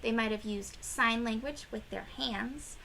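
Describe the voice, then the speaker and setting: High-voiced woman reading slowly to children. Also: creaky, bright, forceful tone, slight background noise. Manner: prompts young listeners with questions, emphatic swooping delivery. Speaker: children's read-aloud host. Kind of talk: expressive picture-book read-aloud for young children.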